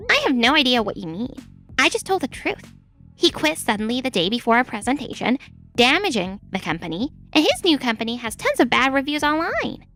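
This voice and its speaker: A woman playing an arrogant, scheming young saleswoman in an animated manga dub, haughty and self-justifying, with music behind her. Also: high-pitched, brisk, full character voice. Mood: defiant. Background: background music.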